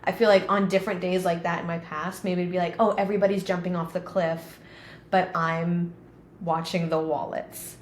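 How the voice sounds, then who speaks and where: A woman's voice: on the low side, little pitch movement, moderate pace. A woman in her thirties, co-hosting a podcast, telling stories in a chatty, casual way with many fillers. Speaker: podcast co-host. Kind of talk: casual conversation. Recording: roomy with faint background noise.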